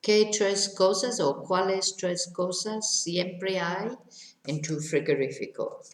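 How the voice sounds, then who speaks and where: A middle-aged woman, teaching to Spanish speakers, repeating each question slowly and clearly. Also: mid-range voice, reverberant, poor audio. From England. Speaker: English teacher. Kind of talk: language lesson for Spanish speakers.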